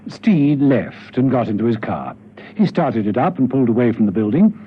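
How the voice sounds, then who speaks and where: Animated male radio narrator. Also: mid-range voice, normal speed, slightly rough. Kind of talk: dramatic scripted radio drama narration. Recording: dull lo-fi radio, music behind.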